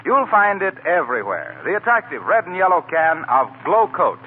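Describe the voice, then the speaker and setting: A man's voice: sits high, very animated, normal speed, narrow, tinny audio. A man reading a scripted 1940s radio advertisement as a polished, instructive announcer. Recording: noisy, thin 1940s radio recording.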